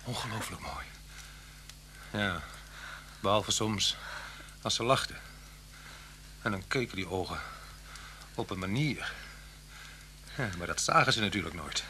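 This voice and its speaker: An actor playing an unhappy, soft-spoken husband on the run in a Dutch radio play, speaking haltingly and often dropping to a near-whisper. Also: male voice, on the low side, expressive. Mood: wistful, bitter. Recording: hum and hiss of old radio recording.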